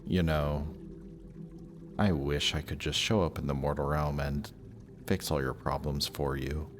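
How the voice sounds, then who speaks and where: A man's voice: very deep voice, level tone, measured pace, background noise. A man playing a death god's smug, lovestruck young son in a romantic audio roleplay, talking casually and teasingly to the listener. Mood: quietly fond.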